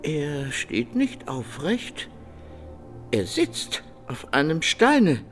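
A resigned blind man in a radio play. His highish voice moves slowly and plaintively, with faint music behind it. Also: wildly swooping pitch, a bit bright, full character voice. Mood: grave. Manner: measured, plaintive, repetitive. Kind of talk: German radio play acting.

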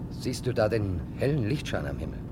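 A man's voice: lowish voice, very animated, a little fast. An actor in a German radio crime drama playing a self-assured, dismissive man at the wheel, speaking nonchalantly. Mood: calm. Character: cocky, dismissive male fast driver. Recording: loud car engine and road noise.

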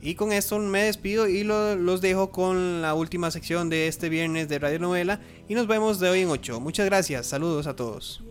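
A man presenting a radio show informally, haltingly searching for his words. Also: high-pitched, over music. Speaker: host.

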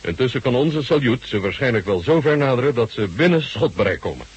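An actor playing a jovial, shrewd Soviet marshal in a radio drama, with a husky voice and a bantering, courteous manner. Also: male voice, mid-range voice, very animated, fairly quick, lo-fi sound. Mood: calm, explaining.

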